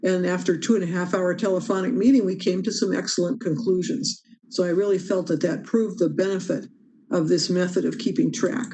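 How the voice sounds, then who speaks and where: A woman's voice: lowish voice, steady tone, fairly quick, dark tone, poor audio. Emphatic woman teaching meeting rules. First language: English. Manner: emphatic, earnest, stresses key rules. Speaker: parliamentary procedure trainer. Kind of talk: presenting a training session on meeting rules. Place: home or office on a video call. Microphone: video call microphone.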